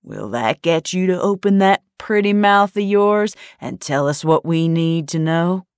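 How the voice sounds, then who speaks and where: A woman voicing a male gunman who talks in a slow, sleepy drawl laced with menace. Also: lowish voice, animated, clear, resonant tone, no echo, clean studio sound. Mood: menacing.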